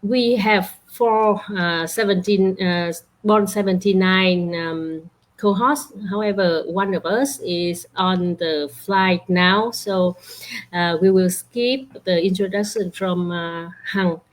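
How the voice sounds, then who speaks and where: Slow, halting woman co-host. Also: on the low side. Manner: slow, hesitant, many fillers. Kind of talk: introducing a guest.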